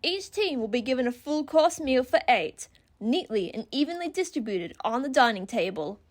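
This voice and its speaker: A woman voicing a peppy, teasing host of an animated horror game show. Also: high-pitched, normal speed. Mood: brisk, gleeful. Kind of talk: animated reality show announcing.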